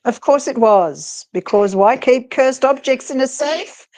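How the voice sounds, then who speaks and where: A woman performs a sardonic female psychic investigator in a haunted romance. She draws her words out slowly with deadpan sarcasm, her pitch fairly high and mobile. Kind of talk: amateur dramatic live read.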